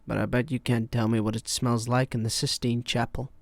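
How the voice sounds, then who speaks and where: Male actor as weary, wounded therapist, film drama. Measured, pointed delivery, confrontational, close dry recording. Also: medium pitch, flat delivery, no echo, lightly acted. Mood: pointed, restrained.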